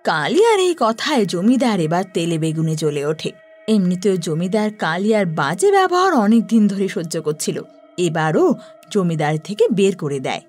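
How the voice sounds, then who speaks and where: A woman narrating a scripted horror story in an expressive storytelling manner. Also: lowish voice, normal speed, soft background music.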